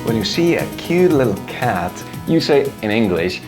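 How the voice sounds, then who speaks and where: A man explains grammar in a clear, measured way. His pitch moves up and down a lot as he talks, and faint music sits quietly behind him. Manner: clear, explanatory, lively intonation. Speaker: language teacher. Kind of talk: scripted explainer narration.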